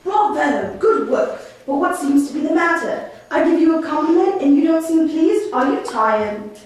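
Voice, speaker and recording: A woman's voice: high voice, expressive, normal speed, a little mellow. A woman playing an imperious exiled duke and magician, a father, declaiming theatrically in a stage puppet play. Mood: scornful, commanding. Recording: echoey room, distant microphone.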